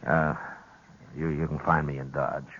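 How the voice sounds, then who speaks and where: Deep, husky western marshal. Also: male voice, level tone, measured pace, fairly bright tone, heavily acted. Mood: firm, measured. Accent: American. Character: steady, authoritative frontier marshal, measured and fair. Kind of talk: 1950s radio western acting. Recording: dull old radio recording.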